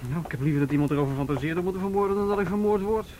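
A man plays a young local policeman in a radio drama. He is easygoing and down-to-earth, with a fairly high male voice and a natural conversational delivery. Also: rapid-fire, noisy background, lightly acted. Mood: wry. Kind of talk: naturalistic Dutch radio play dialogue.

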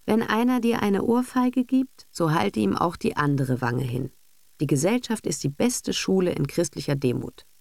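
A woman's voice: lowish voice, lively intonation, normal speed, vocal fry, darkish tone, no echo, voice pushed up. A woman voicing a self-assured, cynical businessman and father, bossy, dismissive and sardonic, in a literary audiobook reading. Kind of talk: literary audiobook character acting.

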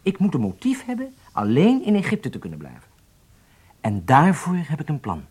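An actor playing a strict, confident riding instructor in a radio drama, commanding and insistent. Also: male voice, a little high, wildly swooping pitch, moderate pace, darkish tone, slightly rough, no room sound, exaggerated voice. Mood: calculating, measured. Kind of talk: Dutch radio drama acting.

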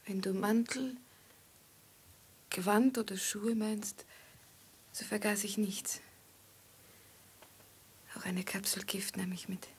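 An actress playing a devoted, quietly proud woman in love in a radio drama, speaking in a hushed, intimate, whispery voice. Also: mid-range voice, steady tone. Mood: eager, intimate. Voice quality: breathy, whispery. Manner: slow, hushed, intimate delivery.

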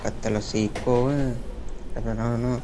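A man putting on a rough, slangy young street character who rambles crudely in a comic monologue. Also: mid-range voice, little pitch movement, normal speed, mellow, slight background noise, voice pushed down, close to an everyday voice. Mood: rambling.

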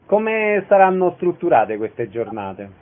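A man with a fairly high voice hosts a radio interview. He speaks in brief questions and introductions, and the sound is thin and narrow like a telephone. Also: very animated, slow pace.

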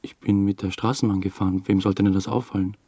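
An actor playing an uneasy, obsessive theatre dramaturge, confessing hesitantly in a radio drama. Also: male voice, sits low, flat delivery, fast, warm tone, breathy edge, dry recording. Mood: defensive. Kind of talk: first-person radio drama narration. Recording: music under the voice. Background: music.

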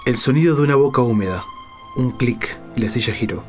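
A man's voice: medium pitch, a little fast, faint music behind. A man narrating a suspense story in a dramatic literary style.

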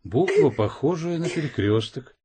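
A man's voice: on the high side, expressive, normal speed, a crowd in the background, lightly acted. An actor playing an enigmatic man who speaks in a theatrical, savouring manner in an audio drama. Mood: portentous.

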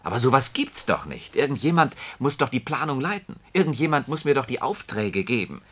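An actor playing a puzzled, frustrated planning engineer in a science-fiction radio play, talking in a conversational, explanatory way. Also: male voice, medium pitch, big pitch swings, brisk, a bit bright, slightly rough. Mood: frustrated, baffled. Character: puzzled, frustrated male planning engineer doubting his company.

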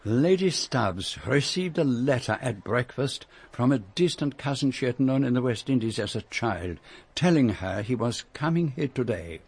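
An actor playing a courteous, shrewd Belgian detective with a French-speaking Belgian accent, formal and precise in a radio drama. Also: male voice, mid-range voice, lively intonation, slightly rough. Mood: measured, thoughtful. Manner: measured, formal, precise phrasing. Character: courteous, shrewd Belgian private detective, middle-aged or older.

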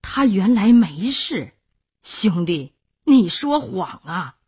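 A woman's voice: medium pitch, very animated, takes it slowly, darkish tone, slightly rough, heavily acted. A woman storyteller playing an emotional, besotted male court clerk in a dramatic manner. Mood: reproachful.

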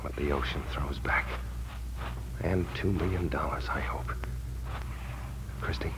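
An actor playing a tense amnesiac war veteran in a hard-boiled 1940s radio drama. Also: male voice, a bass voice, unhurried, fairly bright tone, slight background noise, rough recording. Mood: hushed, wary. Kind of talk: 1940s radio drama acting with first-person narration. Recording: old 1940s radio broadcast.

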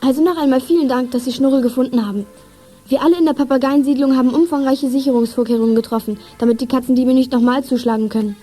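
An actress playing a plucky, polite girl detective in a children's radio play, speaking briskly and earnestly. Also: high voice, fairly even intonation, dark tone, faint music behind. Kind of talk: 1980s German children's radio play acting.

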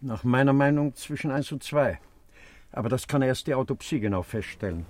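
An actor playing a detached police doctor in a radio crime drama, stating findings precisely. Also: male voice, medium pitch, lively intonation, brisk, darkish tone, lightly acted. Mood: clinical. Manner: precise, factual.

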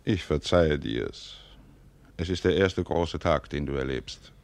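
An actor playing a stern, calculating older duke in a classical radio play, with measured, formal stage diction. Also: male voice, extremely low pitch, darkish tone, exaggerated voice. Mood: stern, grave. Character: stern, calculating older duke, commanding father and military ruler.

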